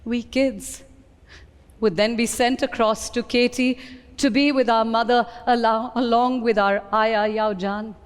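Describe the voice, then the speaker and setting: Indian lady doing a dramatic memoir reading, unhurried, bit high voice, echoey hall. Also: clear, resonant tone. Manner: deliberate, expressive storytelling delivery. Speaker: reader at a book event. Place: live reading in an event hall. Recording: reverberant hall.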